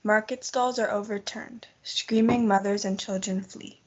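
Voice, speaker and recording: Husky female narrator, muffled recording. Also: medium pitch, fairly even intonation, measured pace. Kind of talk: scripted narration of student play. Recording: dull, muffled lo-fi audio.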